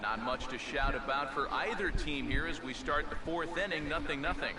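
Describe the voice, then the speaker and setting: A man calling baseball play-by-play for a video game in a polished, measured broadcast delivery. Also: on the high side. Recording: game audio in echoey, very noisy room.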